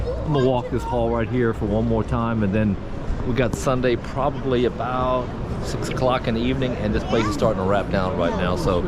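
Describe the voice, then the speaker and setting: A man with a hoarse, raspy voice hosts a casual vlog, chatting in a folksy way to viewers while walking through a car show. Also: mid-range voice. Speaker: vlog host. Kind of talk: casual walk-around vlog. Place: car show halls and grounds, indoors and outdoors. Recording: noisy venue background.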